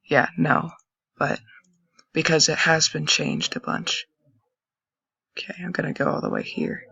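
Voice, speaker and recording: A young man with a raspy voice, casually commenting and thinking aloud while browsing old web pages on a screen recording. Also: on the high side, fairly even intonation, measured pace, pushed and bright, no room sound. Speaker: channel host. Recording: dull lo-fi dry recording.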